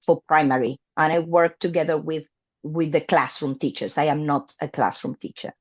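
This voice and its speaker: A woman's voice: deep voice, fairly even intonation, moderate pace, slightly rough, muffled recording. A woman in her fifties from Argentina, a native Spanish speaker, talking about her teaching career in an interview, hesitant with many fillers and restarts. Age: 50s. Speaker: guest, English teacher. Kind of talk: conversational interview.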